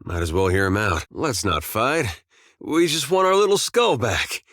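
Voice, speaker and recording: An actor playing a laid-back, wry farmer and veteran soldier in a video game, with a folksy, understated drawl. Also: male voice, sits low, expressive, normal speed, a bit bright, no echo, full character voice. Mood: dry, casual, then playful, fond. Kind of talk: video game character dialogue.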